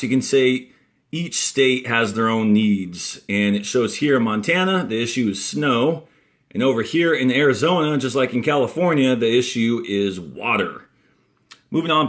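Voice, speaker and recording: A male elementary school teacher with an American accent reads a textbook aloud in a clear, deliberate instructional way, adding brief asides. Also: medium pitch.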